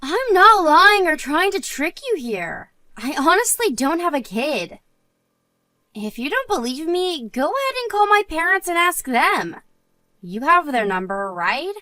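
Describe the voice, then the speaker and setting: A woman's voice: high voice, very animated, unhurried, pushed and bright, dry recording, full character voice. A woman playing an earnest married woman and loyal friend in a scripted story drama. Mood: bewildered, defensive.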